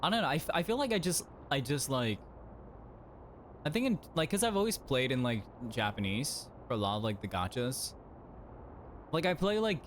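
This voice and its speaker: A man's voice: medium pitch, a little hiss or hum. A man streaming a game, chatting casually and rambling with lots of fillers and restarts. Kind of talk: casual chat while playing.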